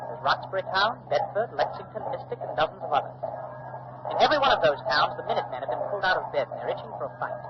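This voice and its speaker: A young colonial patriot man, earnest and defiant, with a somewhat high voice. His answers are clipped and emphatic, in 1940s radio drama style. The old recording is dull, with clear noise behind it. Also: sing-song, quick pace. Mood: defiant, determined.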